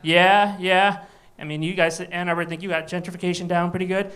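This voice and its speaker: A man from Michigan working a comedy club crowd, his voice pitched high with a bright, forward edge. He talks in a loose, wry, conversational way into a handheld mic, pitching jokes straight at the room. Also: level tone, a little fast. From Michigan, USA. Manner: wry, chatty crowd banter. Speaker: stand-up comedian. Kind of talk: live stand-up set.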